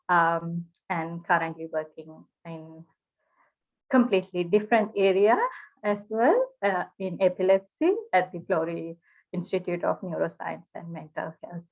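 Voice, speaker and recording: Sri woman in her thirties, steady plain explainer with pauses, lower voice, tinny line. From Sri Lanka. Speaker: cancer researcher. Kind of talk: interview answers. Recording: tinny phone-like video call.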